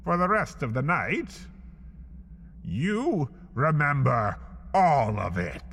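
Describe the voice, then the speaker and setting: A man narrating in a dramatic, spooky storyteller manner, a deadpan horror parody. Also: takes it slowly, slight background noise. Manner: dramatic, spooky storyteller delivery with swooping pitch. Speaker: narrator and host.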